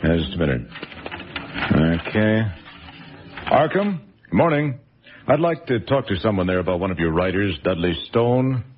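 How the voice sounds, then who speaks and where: An actor playing a brisk, impatient film producer making a phone call in a radio drama. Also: male voice, fairly low pitch, big pitch swings, slight background noise.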